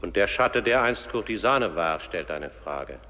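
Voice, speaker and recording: A man's voice: low voice, animated, a little slow, sharp tone, full character voice. A man narrating a radio play in a solemn, scripted style. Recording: long hall reverb.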